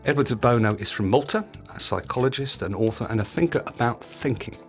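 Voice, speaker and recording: A middle-aged British man narrating a scripted podcast as host, instructive, speaking in short deliberate phrases. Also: medium pitch, faint music behind.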